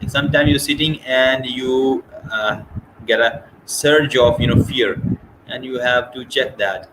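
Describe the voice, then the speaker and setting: A man speaks slowly, stammering through many hesitations and fillers as he hosts an interview. His voice sits at an ordinary pitch. Clear background noise hisses behind him on the video call. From Pakistan. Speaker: podcast host. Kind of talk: casual interview chat.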